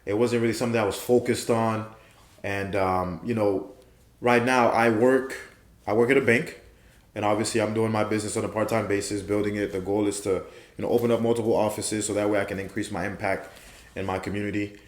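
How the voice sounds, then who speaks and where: A young man from Haiti speaks in a low voice with a relaxed, affirming manner and often repeats his points. The room echoes noticeably around him. He sounds like a guest in an easygoing podcast conversation. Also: brisk. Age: young adult. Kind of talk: casual interview chat. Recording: roomy echo.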